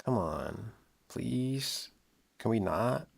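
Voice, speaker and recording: A man chatting casually on a livestream while he works, thinking aloud in a halting, rambling way with repeated phrases. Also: medium pitch, lively intonation, vocal fry, slightly rough. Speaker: livestream host and audio drama producer.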